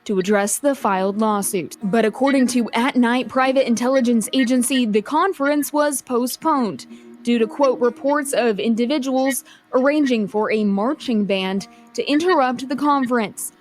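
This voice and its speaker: A woman's voice: on the high side, normal speed, soft background music. A woman reporter delivering scripted news narration in a formal reading style.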